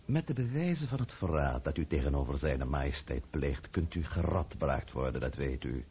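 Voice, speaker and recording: An actor playing a cunning, menacing count in a Dutch radio play, silky and mockingly polite. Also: male voice, rumbling low, lively intonation, moderate pace, slightly rough, exaggerated voice. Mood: menacing, commanding. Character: cunning, menacing aristocratic count, coolly sardonic schemer. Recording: thin, phone-like old radio play recording.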